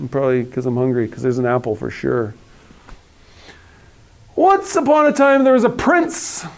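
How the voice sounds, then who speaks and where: A man in his forties reading a storybook aloud with playful, theatrical phrasing and casual asides. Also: extremely high pitch. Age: 40s.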